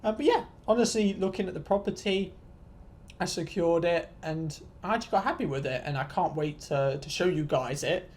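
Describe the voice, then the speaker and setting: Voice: mid-range voice, normal speed, darkish tone, slight background noise. A vlogger in their twenties, telling stories in a casual, rambling, self-deprecating and sweary rant to viewers. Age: 20s.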